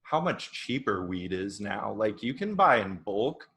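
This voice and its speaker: A man of thirty from Oregon, a podcast guest, chatting in a relaxed, rambling way with many fillers. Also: on the low side, a little slow, vocal fry. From Oregon, USA. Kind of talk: casual chat.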